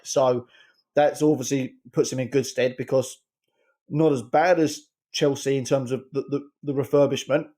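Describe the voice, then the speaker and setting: A man giving his opinions on a casual football podcast, rambling quickly and restarting his sentences. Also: mid-range voice, mellow. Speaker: podcast regular, head coach segment.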